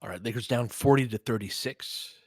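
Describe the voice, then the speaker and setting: Male host for fan-side basketball play-by-play. Mid-pitched, conversational and informal, dry recording with no room sound. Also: fairly quick, a little mellow, breathy edge, dry recording. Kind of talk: casual live basketball commentary, chatting with listeners.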